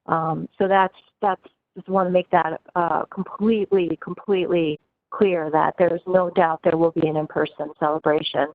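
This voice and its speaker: A woman's voice: on the low side, steady tone, normal speed, no echo. A woman university administrator speaking in an online meeting, reassuring and repetitive with many fillers, her throat scratchy. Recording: dry tinny phone-like audio.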